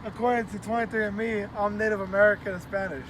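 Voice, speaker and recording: A man's voice: high-pitched, fairly even intonation, fast, noisy background. A man from California talking casually outdoors on a city street at night, emphatic and profane, repeating his words. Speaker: interviewee.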